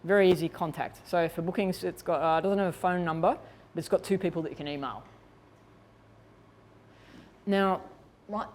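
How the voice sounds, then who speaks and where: A woman's voice: fairly low pitch, darkish tone, a little hiss or hum. Woman giving an informal, conversational workshop talk. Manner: conversational, explanatory, thinks aloud while demonstrating. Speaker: workshop presenter. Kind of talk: informal instructional talk to attendees.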